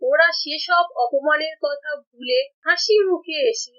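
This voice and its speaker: A woman's voice: high voice, measured pace. A woman playing a landlord's proud, dramatic wife in a melodramatic cartoon. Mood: remorseful. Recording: muffled, loud music behind.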